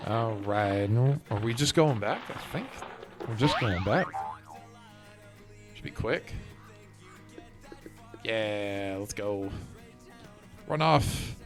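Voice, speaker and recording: A man's voice: lowish voice, lively intonation, slow pace, pauses often. Casual male game streamer over music. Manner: thinking aloud, rambling commentary to viewers. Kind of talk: casual chat while playing a game. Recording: music behind the voice.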